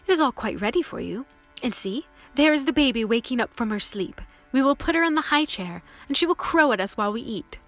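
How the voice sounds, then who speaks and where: A woman's voice: on the high side, lively intonation, moderate pace, soft background music. A woman voicing a loving mother of small children in a storybook, tender and earnest. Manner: tender, gentle.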